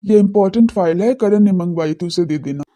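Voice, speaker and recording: An earnest young man in a family audio drama, acted by a man. His voice is very soft and dark in tone, and he speaks in a familiar way. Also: high voice, fairly quick, natural voice. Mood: casual.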